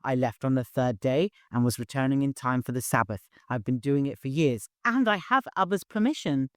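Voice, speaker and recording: A man's voice: medium pitch, animated, moderate pace, clear, resonant tone, no room sound, studio quality, lightly acted. A man voicing a shaken but defiant young woman in an audiobook, protesting with a hysterical edge. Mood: defensive.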